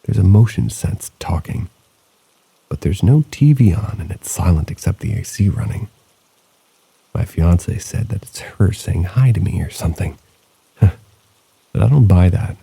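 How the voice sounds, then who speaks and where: A man narrates eerie first-person stories in a low, gravelly voice. His tone is very dark and husky, and he keeps a measured, low-key storytelling pace. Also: expressive. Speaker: host and narrator. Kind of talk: reading listener stories aloud.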